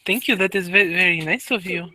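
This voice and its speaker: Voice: medium pitch, a little fast, pushed and bright, dry recording. A player voicing a young female creature with a tail who speaks stiffly and formally, without contractions. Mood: curious.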